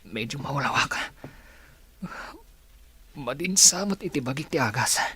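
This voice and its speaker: Casting: ailing Ilocano grandson, tired patient with a mid-pitched voice, for radio drama. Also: male voice, lively intonation, measured pace, bright, forceful tone, slightly rough, exaggerated voice. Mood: groggy, confused. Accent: Filipino (Ilocano).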